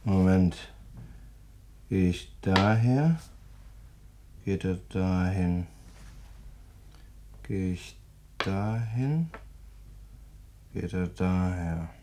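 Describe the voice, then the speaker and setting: An easygoing, boozy policeman in a radio play. He drawls very slowly in a low voice, muttering to himself, with faint noise and clicks around him. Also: mellow. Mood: musing. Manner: unhurried, muttering, platitudinous. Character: easygoing, tippling male policeman playing chess. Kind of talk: radio drama acting.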